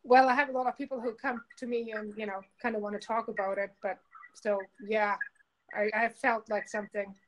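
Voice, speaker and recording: A woman originally from Germany answers a reader over a video call. Her voice is fairly high, and she speaks softly in short, agreeable replies. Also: steady tone, a little fast, a little hiss or hum, poor audio. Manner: soft, brief agreeing replies. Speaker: caller receiving a reading.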